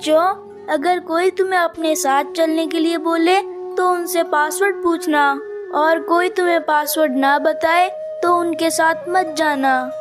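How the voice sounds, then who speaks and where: A very high-pitched little cartoon bird. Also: female voice, steady tone, a little slow, music in the background. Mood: earnest caution. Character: small birds: anxious mother and her cautious chicks. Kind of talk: children's cartoon moral story acting.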